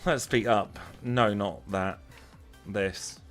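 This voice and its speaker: A man's voice: lowish voice, steady tone, takes it slowly, a bit bright, faint music behind. A man with a Lancashire accent chatting casually as he streams a video game, wry and self-deprecating. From Lancashire, northern England. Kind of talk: casual livestream chat over gameplay.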